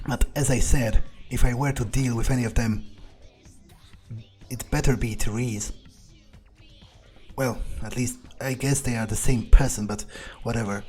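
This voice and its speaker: Male gamer, medium pitch, roleplaying in character: blunt, gruff, halting musing, faint music behind. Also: darkish tone. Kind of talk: in-character video game playthrough, thinking aloud.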